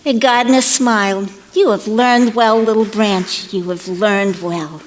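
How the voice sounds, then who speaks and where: A woman storyteller narrating a children's parable theatrically, expressive and deliberate, with playful asides. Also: mid-range voice, a little hiss or hum, rough recording.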